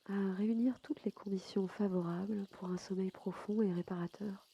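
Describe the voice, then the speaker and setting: A woman softly guiding a sleep meditation as a yoga nidra host, unhurried and soothing, with a breathy voice that sometimes nears a whisper. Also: medium pitch, steady tone, dull audio. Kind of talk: guided sleep meditation narration.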